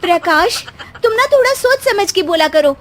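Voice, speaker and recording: A woman voicing a tired young housewife in a cartoon who answers her husband with sharp complaints. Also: very high-pitched, lively intonation, normal speed, background noise, pitched above the performer's own voice. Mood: complaining.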